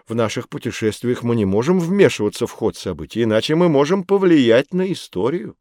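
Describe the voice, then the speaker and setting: An actor playing a knowledgeable, patient mentor who explains things fondly to children in an educational audio drama. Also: male voice, mid-range voice, big pitch swings, a little fast, mellow, no echo, studio quality. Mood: instructive. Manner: didactic, addressing children fondly.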